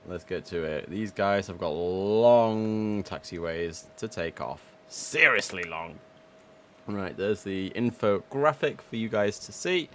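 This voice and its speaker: A young man chats through a live stream in a fairly low voice, eager and full of ums and restarts. He sounds a little sniffly from hay fever, with a faint hiss of background noise. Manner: chatty and enthusiastic, many fillers and self-corrections. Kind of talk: casual live-stream commentary.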